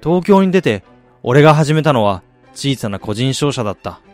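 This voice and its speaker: A man acting in a radio drama as a driven businessman and husband, telling his life story in a measured, reflective way. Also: medium pitch, big pitch swings, lightly acted. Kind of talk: radio drama monologue, literary narration. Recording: music bed behind the voice.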